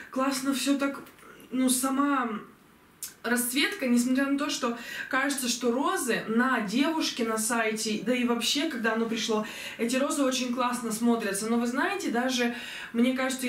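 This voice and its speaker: A young woman reviewing clothes she ordered online, chatty and enthusiastic, slightly hoarse from a cold, filmed at home on a distant camera. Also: a little high. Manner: chatty, enthusiastic, many fillers. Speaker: fashion vlogger. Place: bedroom at home, indoors. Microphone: camera mic from a distance. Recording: roomy echo, voice from far off.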